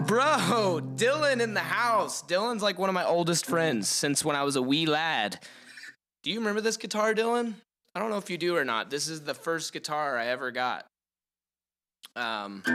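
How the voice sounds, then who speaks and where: Casual streamer, male, slangy and loose, lively delivery, guitar audible underneath. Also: a little high. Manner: laid-back, slangy, loose with fillers. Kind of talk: casual live-stream chat while playing guitar. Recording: guitar playing behind the voice. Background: acoustic guitar.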